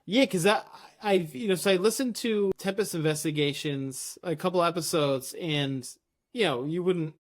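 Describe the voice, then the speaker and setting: A man acts as interviewer in a casual chat. His voice is a little higher than average for a man, and he asks his questions conversationally. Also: normal speed. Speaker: interviewer, podcast host.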